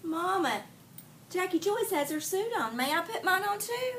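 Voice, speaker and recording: A woman's voice: extremely high pitch, big pitch swings, moderate pace, fairly bright tone, pitched above the performer's own voice, exaggerated voice. A woman reading aloud as an eager little girl coaxing her mother in a picture book. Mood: pleading. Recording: distant mic in a noisy room.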